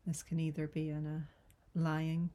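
A woman guiding a yoga nidra relaxation in a soft, breathy, soothing voice, gentle and unhurried with long pauses. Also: deep voice, monotone. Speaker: yoga nidra meditation guide. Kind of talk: guided relaxation meditation.

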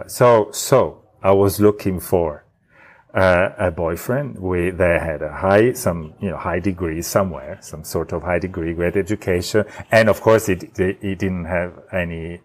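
Low-voiced man with an Italian accent. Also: a little mellow. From Italy. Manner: halting, many restarts and fillers, laughs often. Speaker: podcast guest. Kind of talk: casual storytelling.

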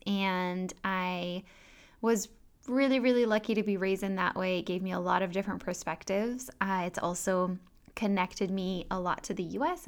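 Gentle woman hosting a wellness podcast. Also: medium pitch, clean tone. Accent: American. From France. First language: French. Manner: soft, gentle and unhurried, with some fillers. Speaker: podcast host and health coach. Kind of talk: calm solo podcast talk with guided breathing.

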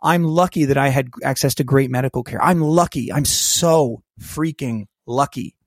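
A man telling a personal story as a podcast guest, lively and acting out the voices of people in it. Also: medium pitch, moderate pace, dry recording, clean studio sound. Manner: animated storyteller who acts out quoted dialogue. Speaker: guest, keynote speaker and coach.

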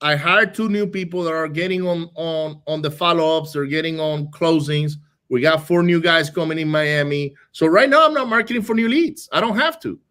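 A man from Venezuela, a business owner, talking casually in an interview, quick and animated, often restarting and repeating words. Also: a little high. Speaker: real estate wholesaling business owner.

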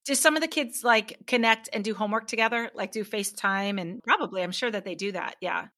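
A woman's voice: mid-range voice, fast talker, bright, forceful tone. A middle-aged woman hosting a casual interview, affirming and recapping what she hears. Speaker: podcast host.

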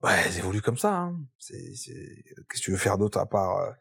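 A man hosting a casual podcast interview, relaxed and teasing. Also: lowish voice, brisk, gravelly, slightly rough. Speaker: podcast host.

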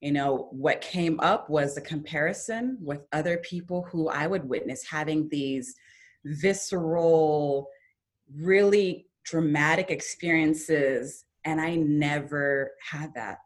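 Podcast guest, female, low register, earnest storyteller who livens up on quoted lines. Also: slow. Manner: reflective, earnest, lively when quoting her younger self. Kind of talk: personal storytelling in a conversation.

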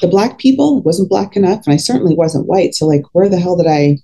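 Woman in her 50s chatting candidly, lowish mellow voice, says it straight and with emphasis. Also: animated, quick pace. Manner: outspoken, opinionated, emphatic. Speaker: podcast guest, fitness coach. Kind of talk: candid conversational interview.

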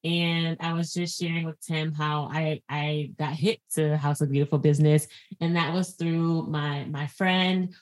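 A woman's voice: sits low, flat delivery, a little slow. A woman hosting a podcast interview, effusive and chatty, laughing often and restarting her sentences. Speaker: podcast host. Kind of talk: casual interview chat.

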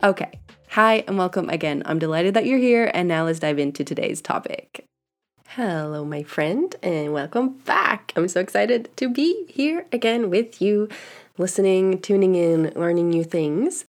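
Woman podcast host, stuffy nasal voice, frank and chatty, lowish pitch, close mic. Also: animated, a little slow, soft background music. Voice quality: nasal from congestion. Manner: warm-hearted, frank and chatty, with fillers and laughs. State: congested nose. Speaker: podcast host and sexuality coach. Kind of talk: solo podcast monologue.

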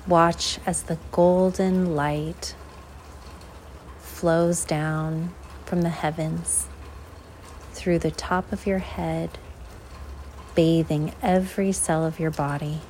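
A woman leading a guided visualization in an unhurried, measured way, leaving long pauses between short phrases. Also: low voice, fairly even intonation, a little mellow, slight background noise, low-quality recording. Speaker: guided meditation host.

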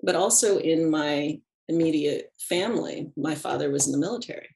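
A middle-aged woman with a low, gravelly voice speaks as a podcast guest. She talks in a thoughtful, unhurried way and laughs softly between thoughts. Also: a little hiss or hum, low-quality recording. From United States. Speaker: podcast guest, animist and ritualist. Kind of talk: reflective conversational interview.

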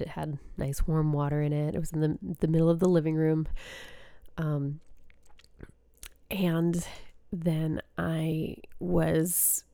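A woman's voice: low-pitched, creaky, warm tone, no echo. A young adult woman hosting a podcast, telling a story in a rambling, casual way with frequent fillers, her nose stuffed up. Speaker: podcast host and spiritual coach.

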